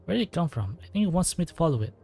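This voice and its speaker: A man's voice: on the high side, big pitch swings, rapid-fire, gravelly, warm tone, breathy edge, faint music behind. Chatty man musing over game play. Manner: musing aloud, joking, reading along. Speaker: gameplay streamer. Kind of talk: casual playthrough commentary.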